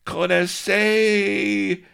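A man voicing an excitable pirate captain in an audiobook, who babbles French phrases in a shrill, gleeful voice. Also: very slow, vocal fry, clean tone, heavily acted. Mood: exultant.

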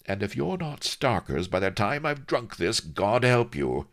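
A man's voice: on the low side, big pitch swings, unhurried, pitched above the performer's own voice. A man voicing a vain, lustful, hot-tempered pirate woman in a story, shifting between languid drawl and piratical bluster. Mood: purring, lustful.

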